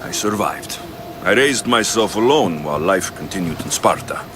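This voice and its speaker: A man's voice: deep voice, expressive, normal speed, noisy background. A man playing a blunt, confident young mercenary in dramatic video game dialogue. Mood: grave, determined. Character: blunt, confident male Spartan-born mercenary.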